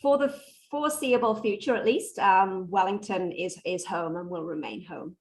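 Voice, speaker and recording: A woman in her forties from Wales with a Welsh accent softened by New Zealand, answering interview questions in a measured, polite way. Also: mid-range voice, rough recording. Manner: measured, polite, with frequent ums. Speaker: podcast guest, bikini competitor.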